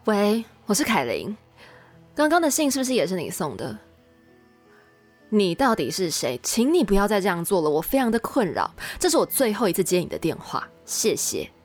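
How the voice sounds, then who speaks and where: A woman playing an easygoing young female piano teacher in a radio drama, expressive and full of exclamations. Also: medium pitch, moderate pace. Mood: firm, upset.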